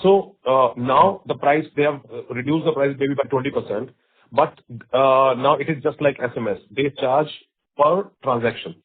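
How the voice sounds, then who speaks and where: A man with an Indian accent and a husky voice, an executive explaining on an earnings call and often checking with short tags. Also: mid-range voice, normal speed. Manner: explanatory, checks with right and okay. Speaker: company executive. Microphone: conference call line. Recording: thin phone-line sound.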